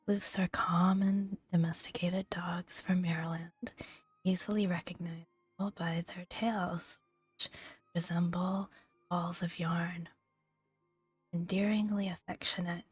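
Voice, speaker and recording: A woman speaking softly in a breathy near-whisper, gently and unhurriedly, in an ASMR style with pauses between phrases as she reads game entries aloud. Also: on the low side, no room sound. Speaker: gaming video host. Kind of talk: soft-spoken ASMR, reading game entries aloud with casual asides.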